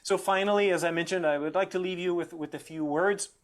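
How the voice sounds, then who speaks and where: A man with a fairly high voice delivers a formal, reflective address. He sounds earnest and measured, placing clear emphasis on key phrases as a guest speaker would. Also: fairly even intonation. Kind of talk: formal closing speech, quoting and reflecting.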